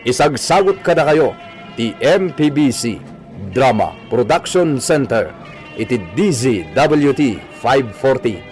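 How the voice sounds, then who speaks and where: Man, Ilocano accent, radio drama narrator, scripted delivery, medium pitch, faint music bed. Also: big pitch swings, takes it slowly, darkish tone.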